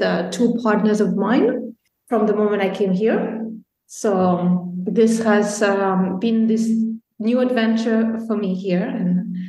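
A woman's voice: medium pitch, vocal fry, darkish tone. A woman from Belgium, a guest on a podcast, telling her story in a chatty way with many ums. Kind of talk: casual interview talk. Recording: roomy echo.

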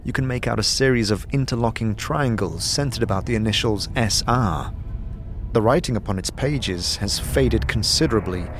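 A man giving scripted second-person fantasy narration in a measured, dramatic storytelling manner. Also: lowish voice, slight background noise. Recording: clear music behind.

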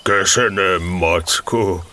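Very deep, gloomy donkey. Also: male voice, sharp tone, slight background noise. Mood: faint, grateful. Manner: slow, halting, mournful. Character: gloomy, slow, deadpan old toy donkey, easily moved. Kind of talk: children's storybook audio drama.

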